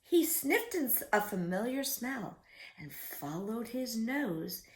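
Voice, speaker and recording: A woman's voice: fairly high pitch, very animated, takes it slowly, a little mellow. A woman reading a picture book aloud to young children as a story-time host, theatrical and often putting questions to her listeners.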